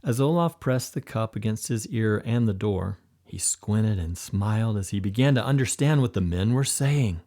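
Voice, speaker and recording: A man narrating an adventure audiobook in a steady, gentle, measured reading. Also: on the low side. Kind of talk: scripted storybook narration.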